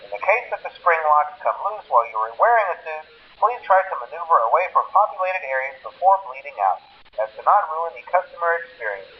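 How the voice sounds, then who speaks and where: Over a dull, slightly noisy phone recording comes an awkward corporate trainer from a video game. His voice sits fairly high and swings around in pitch. He stumbles politely into each message, then delivers procedures with deadpan composure. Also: fast. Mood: awkward, matter-of-fact. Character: nervous, cheerful corporate phone trainer at a pizzeria. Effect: telephone filter. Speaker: employee training caller. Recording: muffled lo-fi phone recording with faint noise.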